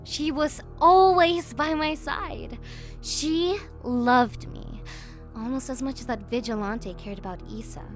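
Casting: a sadistic, playful goddess of destruction for horror audio drama; high, very animated, theatrical, sweetly venomous. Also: female voice. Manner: theatrical, mocking, giggly, swinging from sweet to venomous. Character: gleefully cruel, playful world-killing goddess villainess. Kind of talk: villain monologue in an audio horror series.

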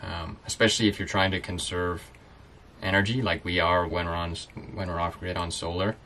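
A man's voice: low-pitched, flat delivery, brisk, bright, forceful tone, slight background noise. A young man who lives on a sailboat, chatting casually and explaining things easily with plenty of fillers, inside a small boat cabin. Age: young adult. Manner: easygoing, explanatory, many fillers. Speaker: sailboat-living vlogger. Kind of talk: casual chat.

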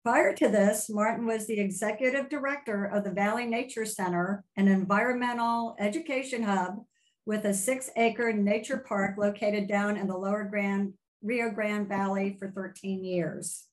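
An older woman hosting a video call, reading out a measured introduction of a guest speaker. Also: mid-range voice, steady tone, rough recording. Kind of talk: read-out introduction.

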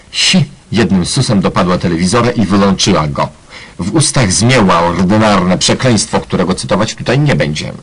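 Male narrator, low husky voice, deadpan ironic storytelling. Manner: mock-solemn, tongue-in-cheek. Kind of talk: scripted ironic radio play narration.

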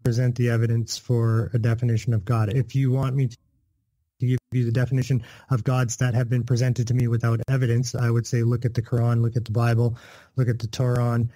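A man's voice: mid-range voice, monotone, fairly quick, mellow, no echo, low-quality recording. A man from northern Canada with a Canadian accent, a podcast guest earnestly explaining his views in casual conversation, often restarting his words. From Canada, Thunder Bay.

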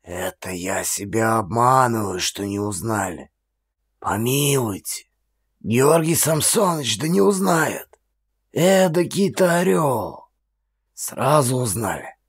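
A man acts out a shabby old man from an audiobook tale, humiliated and sorrowful. He speaks slowly and haltingly in a husky, hoarse voice, plaintive and meekly deferential. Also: medium pitch, voice pushed up, full character voice. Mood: bitter resignation. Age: older. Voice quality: husky, hoarse half-whisper.

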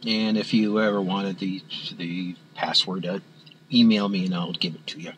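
A man walking through a spreadsheet on screen as an instructor, talking in a halting way with many fillers and restarts. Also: low voice, fairly even intonation, noisy background. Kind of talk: screen-recorded software walkthrough.

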